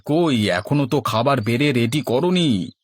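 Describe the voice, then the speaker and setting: A man's voice: mid-range voice, expressive, measured pace. A man playing a henpecked village husband in a cartoon comedy, exasperated by quarrels and trying to placate. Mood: impatient. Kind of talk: Bengali cartoon comedy acting.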